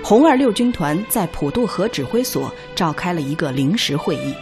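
A woman's voice: on the low side, big pitch swings, moderate pace. A woman giving formal, declamatory scripted narration for a historical drama. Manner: solemn, declamatory. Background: background music.

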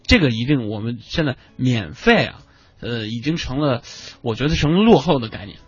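A man with a husky voice speaking as a radio panel guest, laying out his points one by one with emphasis. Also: medium pitch, lively intonation, moderate pace, no room sound. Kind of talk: conversational radio commentary. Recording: dull, muffled audio.

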